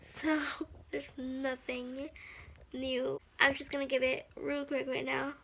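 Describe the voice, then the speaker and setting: Slightly hoarse, chatty young woman. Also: high-pitched, monotone, a bit bright. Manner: chatty and upbeat, quick asides. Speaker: beauty vlogger. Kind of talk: makeup tutorial narration. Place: small room at home, indoors.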